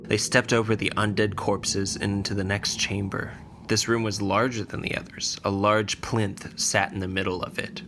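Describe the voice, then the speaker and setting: Low, gravelly male storyteller. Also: level tone, moderate pace, few pauses, noisy background. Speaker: podcast narrator. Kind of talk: scripted fantasy story narration.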